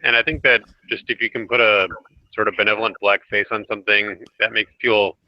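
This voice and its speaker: A man's voice: fairly low pitch, little pitch movement, fast talker, no echo, highs cut off. A man talking as a panelist in a casual political discussion over an online call.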